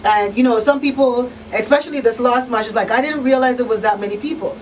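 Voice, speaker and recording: A woman's voice: on the high side, fast. A woman hosting a live call-in talk show, speaking emphatically and conversationally, often checking that listeners follow her. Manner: emphatic, conversational, checks in with "you know what I mean". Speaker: talk show host and crisis counselor. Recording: distant mic, faint background noise.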